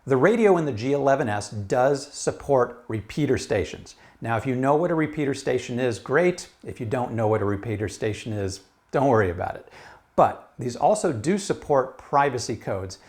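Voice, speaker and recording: A man's voice: mid-range voice, expressive, normal speed, darkish tone. A man giving a casual product review in a conversational, emphatic way.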